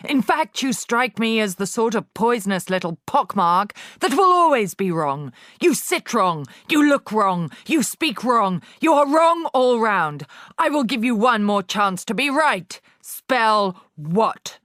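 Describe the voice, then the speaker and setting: A woman puts on a towering, bullying headmistress from a storybook, harsh and scornful. She barks and booms every line with loud, raised force and sneering contempt, all in a close, dry recording. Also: very animated, unhurried, no echo. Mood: bellowing. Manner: barking, booming, contemptuous.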